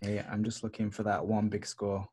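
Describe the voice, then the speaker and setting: A man's voice: fairly low pitch, completely flat delivery, moderate pace, warm tone, a little hiss or hum. A man speaking casually and in character as a player in a tabletop game, with a teasing manner.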